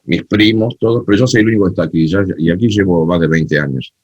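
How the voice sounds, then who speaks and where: A man with an Argentine accent, a guest telling animated, opinionated stories in a casual interview chat. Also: low voice, fast, slightly rough, dry recording, highs cut off. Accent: Argentine Spanish. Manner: animated storyteller, frank and opinionated. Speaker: guest, cumbia festival creator.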